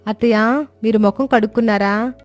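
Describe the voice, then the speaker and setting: A woman's voice: on the high side, steady tone, moderate pace, soft background music, lightly acted. A woman voicing a clever young daughter-in-law in a cartoon story, respectful yet teasing. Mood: coaxing. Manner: respectful but cheeky.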